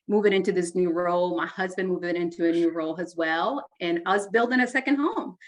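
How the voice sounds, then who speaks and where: This is a woman from Honduras whose first language is Spanish, speaking as a podcast guest. Her voice sits somewhat low for a woman. She talks earnestly and thoughtfully, with plenty of ums and restarts. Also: poor audio. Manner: earnest, reflective, frequent fillers and restarts. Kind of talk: casual interview conversation.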